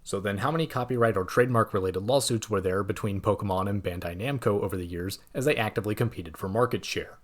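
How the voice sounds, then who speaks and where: Man, fairly low voice, scripted video essay narration, measured, dry asides, close mic.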